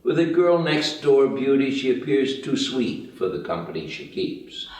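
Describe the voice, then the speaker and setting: A man reads out screenplay stage directions at a table read in a measured, matter-of-fact way. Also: medium pitch, very animated, darkish tone. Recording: very echoey room, distant mic.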